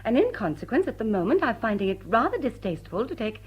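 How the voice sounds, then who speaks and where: Witty society lady, arch n composed, breathy husky voice, lively radio drama delivery. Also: fairly high pitch, quick pace, heavily acted. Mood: firm reluctance. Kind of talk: period radio drama acting.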